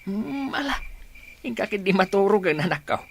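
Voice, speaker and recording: A man playing a gentle, devout old father in a radio drama, tender and sighing in manner. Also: sits high, expressive, normal speed, gravelly, slight background noise. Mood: caring, resigned.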